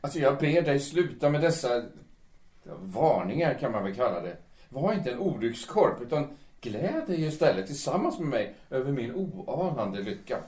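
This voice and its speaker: A man's voice: medium pitch, big pitch swings, a little mellow, close to an everyday voice. A man playing an earnest, lovestruck middle-aged editor in an audio drama, speaking in formal, hesitant phrases. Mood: firm, elated. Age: late 30s. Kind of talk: audio drama dialogue in Swedish. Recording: echoey room.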